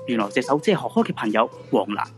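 A man's voice: mid-range voice, animated, fast talker. A male radio drama narrator speaks Hong Kong Cantonese in a medium register. He tells the scripted story dramatically over faint music.

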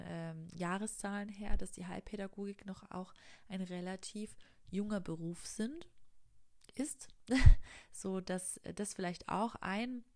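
A woman hosts an informative podcast, talking through her subject in a steady explanatory monologue. Her voice sits low and carries a gravelly fry, and she has a cold. Now and then she pauses on a small filler before moving on. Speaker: podcast host.

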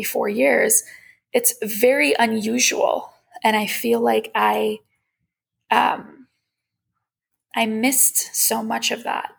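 Creaky-voiced young woman, slightly high and husky. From Iran. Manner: candid, reflective, conversational. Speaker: podcast host. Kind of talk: casual personal monologue.

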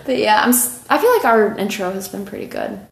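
A woman's voice: medium pitch, wildly swooping pitch, a little fast, gravelly. A young woman co-hosting a casual chat podcast, giggly and full of "like" and "you know". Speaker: co-host. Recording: echoey room, faint noise.

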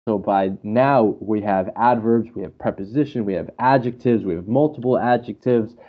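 A man's voice: on the low side, normal speed. A man speaking casually and enthusiastically as an English teacher, explaining with anecdotes and repeating phrases for emphasis. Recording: dull, muffled lo-fi sound.

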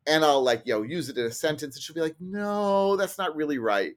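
A man's voice: a little high, animated, brisk. Middle-aged man explaining casually as podcast guest. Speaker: interview guest, programming trainer.

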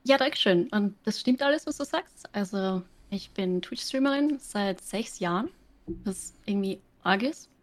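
A woman's voice: mid-range voice, lively intonation, a little slow. An Austrian woman talks as a podcast guest, her accent plain throughout. She explains things in a chatty, easygoing way, with plenty of small fillers along the way. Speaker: guest streamer. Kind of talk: casual podcast chat.

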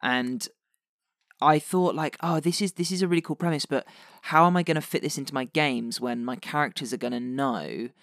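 A man's voice: medium pitch, moderate pace, a bit bright, dry recording, studio quality. A man from England with an English accent, talking casually as a podcast host discussing tabletop games.

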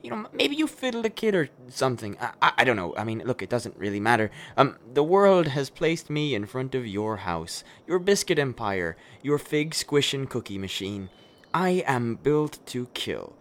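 A man's voice: medium pitch, big pitch swings, moderate pace, a bit bright. A man playing a nervous, apologetic killer in a darkly comic radio play, stammering and rambling with plenty of swearing. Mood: agitated, apologetic. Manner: stammering, hesitant, rambling, foul-mouthed.

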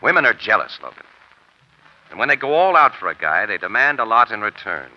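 A man's voice: lowish voice, very animated, brisk. A man playing a streetwise, knowing American crime photographer with a punchy, wry delivery in a 1940s radio drama. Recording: dull, lo-fi old radio recording.

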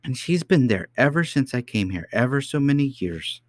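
A man's voice: mid-range voice, big pitch swings, normal speed, no echo, voice pushed up, lightly acted. An earnest little girl princess, voiced by a man, speaking in a lifted, eager voice. She insists on her story with childlike indignation, as in a storybook reading, recorded close and dry.

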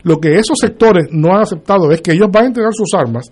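A man's voice: high-pitched, animated, fast talker, a little mellow. A man on a political radio panel, chiming in with short factual remarks and reading out figures.

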